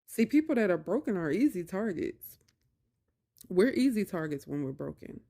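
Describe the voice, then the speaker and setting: A woman's voice: mid-range voice, sing-song, normal speed, warm tone. A woman talking casually to viewers and telling a personal story in colloquial phrasing, emphatic and repeating phrases for stress. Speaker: video creator talking to viewers about narcissistic abuse.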